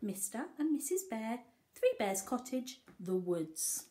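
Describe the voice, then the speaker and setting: A woman's voice: fairly high pitch, very animated, slow, mellow. A woman teacher reading a picture book aloud to young children, expressively, with coaxing questions to the listeners. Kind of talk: animated picture-book read-aloud with asides.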